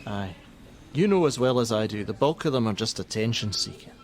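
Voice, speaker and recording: A man acting a gruff, jaded helpline worker. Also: fairly low pitch, animated, a little fast, close to an everyday voice. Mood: defiant. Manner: blunt, sardonic, defensive. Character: jaded, gruff, hot-tempered male crisis-line worker and father. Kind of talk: naturalistic radio play acting.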